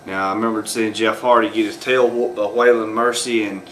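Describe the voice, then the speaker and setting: A man with a bright, forward voice and a lilt talks unscripted about his subject, pausing on fillers and restarting his sentences. A faint ambient hiss sits under the voice. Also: fairly low pitch, level tone. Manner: rambling, many fillers and restarts. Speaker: video host. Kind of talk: unscripted solo commentary.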